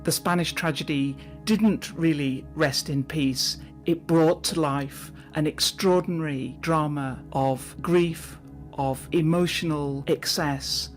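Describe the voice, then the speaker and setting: A woman giving a scripted scholarly introduction to a play, in measured, emphatic phrases. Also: low-pitched, fairly even intonation, soft background music.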